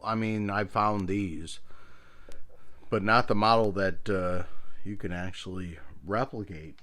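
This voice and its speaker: Low-voiced middle-aged man, rambling casually. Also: a bit bright. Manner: meandering, enthusiastic about old gear. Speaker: video host, radio hobbyist. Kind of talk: casual rambling show-and-tell.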